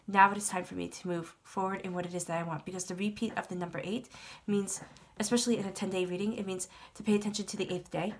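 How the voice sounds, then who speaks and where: A woman reading tarot for her channel, congested with a cold, talking in a soothing, reassuring way and often repeating herself. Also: mid-range voice. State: stuffed up from a cold. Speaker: tarot reader. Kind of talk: tarot reading monologue to camera.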